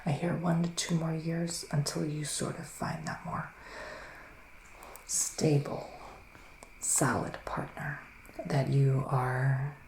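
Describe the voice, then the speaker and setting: A man playing a gentle psychic witch in an ASMR roleplay, speaking in a soft, musing whisper with long pauses. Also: a little high, flat delivery, vocal fry, roomy sound, a little hiss or hum, poor audio. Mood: hushed, musing. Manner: slow, hushed, musing, with drawn-out pauses. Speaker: ASMR performer giving a psychic reading.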